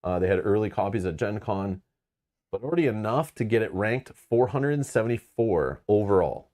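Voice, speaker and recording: A man with a notably deep voice chats loosely to his viewers, wandering between thoughts and padding with fillers. His tone stays casual and frank. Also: expressive, normal speed, darkish tone. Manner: rambling, filler-heavy, frank. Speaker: board game channel host. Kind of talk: casual live-stream chat.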